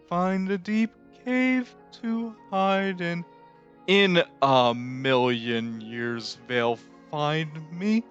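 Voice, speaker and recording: A performer voicing a lanky skeleton Pumpkin King from an animated musical, grandiose, excitable and melodramatic, with sweeping theatrical delivery. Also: mid-range voice, clean tone, highs cut off. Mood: anguished, mournful. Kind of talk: fan re-recording of animated musical film lines.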